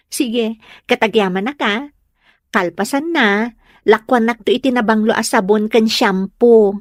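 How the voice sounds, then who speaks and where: A woman's voice: a little high, a little slow, long pauses, no echo, studio quality, close to an everyday voice. A woman playing a sweet, polite, coy young woman in love in an Ilocano comedy drama. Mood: cheerful.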